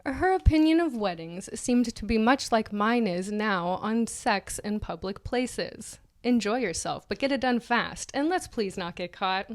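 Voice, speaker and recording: A woman's voice: on the high side, lively intonation, measured pace, hardly any pauses. A woman co-hosting a podcast, reading an essay aloud in a dramatic style mixed with casual banter. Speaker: podcast co-host.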